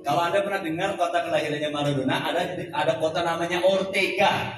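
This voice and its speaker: A man's voice: on the high side, fairly even intonation, fast, fairly bright tone. A man in his forties from Central Java, giving a jokey motivational seminar talk and leading the crowd in call-and-response. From Central Java, Indonesia. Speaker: seminar speaker, business coach. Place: large indoor hall. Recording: echoey hall recorded from far off.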